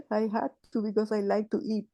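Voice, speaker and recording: A woman, sharing in a group call in a halting, thoughtful way with many restarts. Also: mid-range voice, warm tone, dry recording. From Chile. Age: 80s. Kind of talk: casual sharing on a video call.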